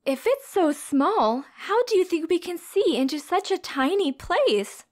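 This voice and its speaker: A woman's voice: very high-pitched, big pitch swings, a little slow, darkish tone. A woman reading a storybook aloud to young children as a teacher, expressively, with simple questions and explanations.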